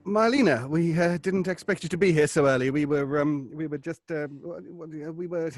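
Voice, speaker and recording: A man's voice: on the high side, normal speed, dry recording, clean studio sound, lightly acted. An actor playing a flustered, kindly man in a theatre troupe, stammering and hesitant, in an audio horror drama. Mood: flustered, evasive.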